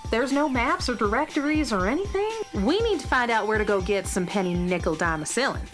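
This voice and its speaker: Space cowgirl, acted by a woman: excitable, folksy, plain-spoken, swooping delivery over light music, children's audio drama. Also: on the high side, a little fast, lightly acted. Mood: eager. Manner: folksy cowgirl phrasing, emphatic.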